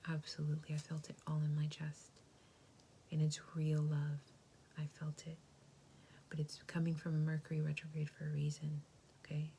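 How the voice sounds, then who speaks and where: A woman giving a tarot reading in a soft, gentle, reassuring whisper. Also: deep voice, slight background noise. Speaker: tarot reader.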